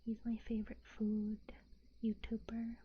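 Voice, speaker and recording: A young woman from the United States whispering in a soft, rambling ASMR chat, with fillers and little giggles. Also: on the high side, completely flat delivery, unhurried, highs cut off, slight background noise.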